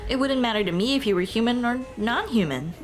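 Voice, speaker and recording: A woman playing a shy, earnest Dullahan schoolteacher in an ASMR roleplay, speaking softly and expressively. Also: on the high side, expressive, a little fast, faint music behind, natural voice. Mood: earnest, warm. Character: shy, earnest female Dullahan teacher, headless monster girl on a date.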